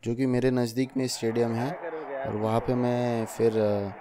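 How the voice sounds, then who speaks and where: A man's voice: mid-range voice, flat delivery, normal speed, a crowd in the background. A young man narrating his daily vlog in a chatty voice-over, often addressing viewers as friends. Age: young adult. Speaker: vlogger.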